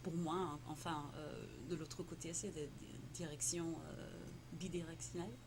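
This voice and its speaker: A woman whose first language is Tamil speaks in a fairly low voice, stumbling through her answers with lots of fillers and repeated words. The recording carries steady noise in the background. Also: moderate pace. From Morocco. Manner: hesitant, many fillers and repeated words, laughs often. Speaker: interviewee, entrepreneur. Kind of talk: casual conversational interview. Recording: noisy background.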